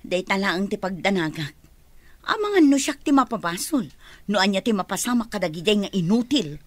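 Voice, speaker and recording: A woman's voice: mid-range voice, sing-song, a little fast, dry recording. A woman playing a grumbling caretaker in a radio drama who frets about being blamed for the children. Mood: fretful. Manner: grumbling, fretful.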